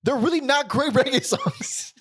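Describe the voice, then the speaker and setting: A man's voice: very high voice, expressive, moderate pace, fairly bright tone, dry recording, clean studio sound. A man hosting a podcast, chatting casually about music, rambling with frequent laughs and swearing. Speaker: podcast host.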